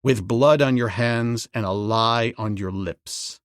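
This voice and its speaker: A man voicing a stern wild jungle man who speaks slowly and grimly in a low voice. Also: no echo, studio quality. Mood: menacing. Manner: slow, low, grim speech.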